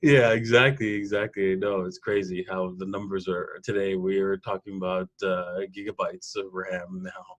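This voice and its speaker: A man, telling personal stories in a casual interview in an animated way. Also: deep voice, moderate pace, hardly any pauses, muffled recording. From Toronto, Canada. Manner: animated storyteller, laughs often. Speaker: podcast guest, programmer.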